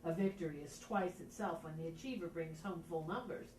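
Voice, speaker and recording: A woman's voice: fairly low pitch, brisk, a little mellow, close to an everyday voice. A woman acting an older head of household in Shakespearean stage dialogue, advising and questioning. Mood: measured, teasing. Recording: roomy, distant, faint noise.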